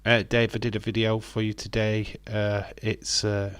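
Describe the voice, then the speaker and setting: A man with a lowish, slightly croaky voice hosts a live stream in a loose, rambling chat. He fills gaps with hesitant ums and uhs. The sound is dry and close. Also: completely flat delivery, normal speed, fairly bright tone, dry recording. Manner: rambling, friendly, with frequent hesitant fillers. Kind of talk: casual live watch-along chat, reading viewer comments.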